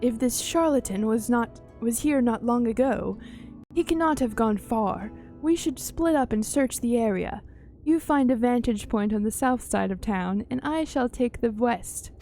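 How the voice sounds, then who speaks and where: A woman's voice: fairly high pitch, measured pace, warm tone, soft background music. A woman voicing a polite, easily exasperated fantasy traveller from a video game, reading the dialogue in a formal, theatrical way. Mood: vexed, brisk.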